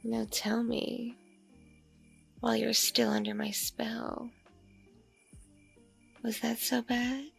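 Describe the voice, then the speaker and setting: Woman acting a slow, creaky, seductive vampire woman. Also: fairly high pitch, level tone, soft background music, voice pushed down, exaggerated voice. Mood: teasing, seductive. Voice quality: husky, creaky. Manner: slow, intimate murmur slipping into whispers. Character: seductive, doting mature female vampire, politely predatory. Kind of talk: ASMR audio roleplay.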